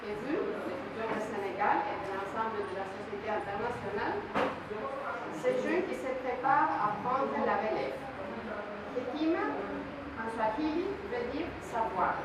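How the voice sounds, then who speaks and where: A woman from Spain with a Spanish accent gives a formal speech in French as a non-native speaker, careful and halting, as a guest diplomat reading from notes. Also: a little high, expressive. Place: institute hall at a public ceremony. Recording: echoey, noisy hall recorded from far off.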